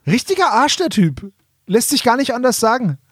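A man's voice: very high-pitched, big pitch swings, moderate pace, breathy edge, no room sound, studio quality. A man co-hosting a casual comedy podcast, emphatic and mock-indignant, repeating himself for effect. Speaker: podcast co-host.

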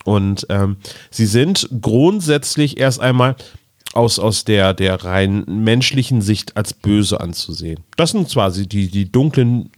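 A man with a fairly low voice explains things as a podcast co-host. He talks casually and gropes for the right word as he goes. The recording is very dry, without audible room. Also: expressive, unhurried, dry recording.

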